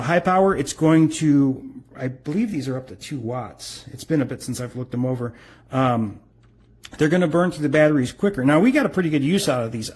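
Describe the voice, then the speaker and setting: A man's voice: mid-range voice, a little mellow. Middle-aged man, casual explainer. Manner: informal, rambling, explanatory. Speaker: product reviewer. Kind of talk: casual unscripted product review.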